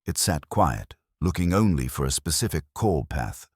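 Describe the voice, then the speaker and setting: A man's voice: rumbling low, big pitch swings, normal speed, a little mellow, husky, no room sound, clean studio sound, heavily acted. A man voicing a wary, terse corporate security man who reports in a clipped, serious, factual manner. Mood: guarded, serious.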